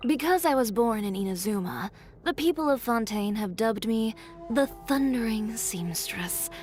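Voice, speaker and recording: A woman's voice: medium pitch, natural voice. A woman voicing a blunt, haughty young fashion designer in a video game, speaking in a curt, dry and self-assured way. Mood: matter-of-fact. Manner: curt, dry, matter-of-fact, coolly condescending. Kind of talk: scripted game character voice lines.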